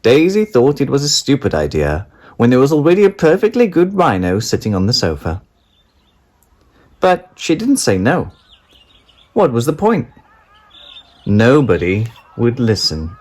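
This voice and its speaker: An adult storyteller reading a picture book to children. The pace is slow and the intonation rises and falls widely, in an expressive read-aloud style. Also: medium pitch. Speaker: storybook narrator.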